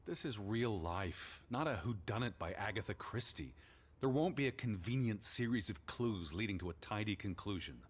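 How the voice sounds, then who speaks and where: Deep-voiced, sceptical American scholar. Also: male voice, lively intonation, husky. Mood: dismissive. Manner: measured, formal, pedantic. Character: educated, sceptical, dismissive American male naturalist of the 1920s. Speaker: voice actor in a video game. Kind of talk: scripted game dialogue and reading aloud.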